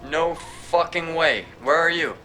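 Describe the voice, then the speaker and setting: A fretful blunt friend on a call, bright tense voice. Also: male voice, on the high side, unhurried, faint music behind. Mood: incredulous, worried. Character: anxious, exasperated male friend on a call. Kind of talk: scripted audio drama dialogue.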